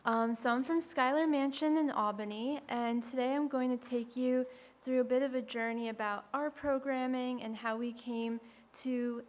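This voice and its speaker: A woman with a quiet voice giving a friendly, conversational talk to a live audience as a speaker for a historic site. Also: on the high side. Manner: soft-spoken, friendly and conversational.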